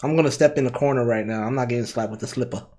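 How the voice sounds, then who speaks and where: A man's voice: medium pitch, rapid-fire, a little mellow. A man from Brooklyn, hosting a casual group chat with joking, streetwise banter. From Brooklyn, New York. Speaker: podcast host.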